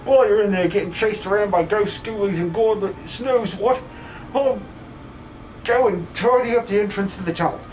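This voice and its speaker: A man voicing a boastful but cowardly muscle-bound miner with rough, cheery working-class speech in a video game fan dub. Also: sits high, moderate pace, darkish tone, slight background noise, natural voice, low-quality recording. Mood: relieved, cheery.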